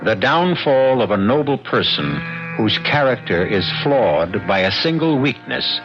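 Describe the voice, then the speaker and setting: An actor playing a sardonic, ominous host of a mystery radio drama, narrating in a deliberate theatrical manner. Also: male voice, mid-range voice, sing-song. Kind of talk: dramatic scripted old-time radio narration. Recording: muffled old radio broadcast. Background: music.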